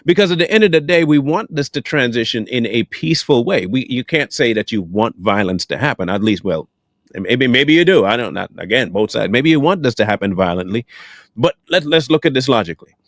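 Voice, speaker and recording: An American man with a medium-pitched voice talks through current affairs as a solo commentator. His delivery is conversational but emphatic and measured, and the sound is dry, with no room echo. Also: lively intonation. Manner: deliberate, emphatic, asks and answers own questions. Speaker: online commentator. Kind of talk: off-the-cuff news and political commentary.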